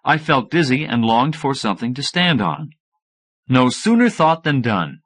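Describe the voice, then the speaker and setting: A man reading first-person fiction as an audiobook narrator, in an expressive scripted style. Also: medium pitch, normal speed.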